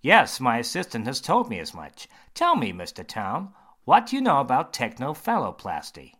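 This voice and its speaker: A man voicing an eager, grinning male surgeon who talks brightly and bluntly. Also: mid-range voice, huge pitch swings, voice pushed up. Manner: bright, emphatic, quick.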